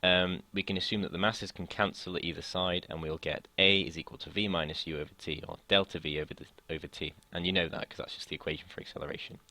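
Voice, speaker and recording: A young man from the United Kingdom explaining a physics lesson in a casual way, his voice slightly croaky from long talking, often hesitating and restarting sentences. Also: sits low, steady tone, fast, few pauses, sharp tone, dry recording. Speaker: student tutor.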